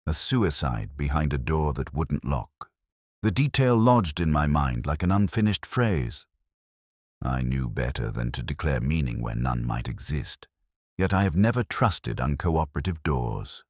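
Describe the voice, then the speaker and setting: A middle-aged man narrating a detective story in the first person, in a measured, literary and brooding manner. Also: very deep voice, very animated, dry recording, clean studio sound.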